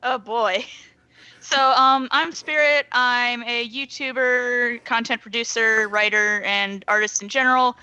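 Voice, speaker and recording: A woman's voice: fairly high pitch, fairly even intonation, measured pace, sharp tone, no room sound, poor audio. A young adult woman chatting casually as a guest on a podcast. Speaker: podcast guest, YouTuber and artist. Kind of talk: casual chat.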